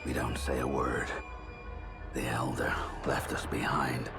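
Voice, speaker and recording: A rock-bottom deep, gruff mutant soldier of the wasteland, brooding and bitter. He speaks slowly in terse, whispery phrases with a heavy gravel, over loud music. It has the grim tone of video game narration. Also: flat delivery, heavily acted. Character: gruff, embittered male mutant stalker narrating a wasteland story. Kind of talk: brooding first-person scripted game narration.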